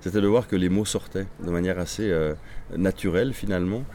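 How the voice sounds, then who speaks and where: Deep, dark-toned man, Swedish native speaker, novelist guest, thoughtful and halting. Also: a little hiss or hum. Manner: reflective, halting, many restarts. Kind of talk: interview answers.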